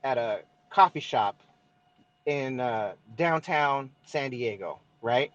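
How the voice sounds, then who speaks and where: A man's voice: medium pitch, animated, takes it slowly, fairly bright tone, dry recording, dull audio. A man with a Mexican-American East Los Angeles accent, co-hosting a casual podcast chat, swearing freely and checking in with "right?" as he explains. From Southern California. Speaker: podcast co-host.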